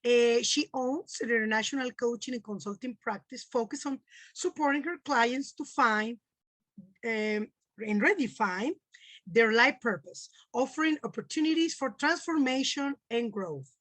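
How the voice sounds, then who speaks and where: A woman's voice: a little high, normal speed, fairly bright tone, dry recording. Woman podcast host, dry sound. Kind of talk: interview hosting.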